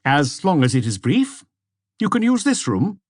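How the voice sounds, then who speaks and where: A man's voice: on the high side, wildly swooping pitch, measured pace, no room sound, studio quality, pitched above the performer's own voice, full character voice. A man voicing a formal, probing examining magistrate in an audiobook, insistent in his questions. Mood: curt, permitting.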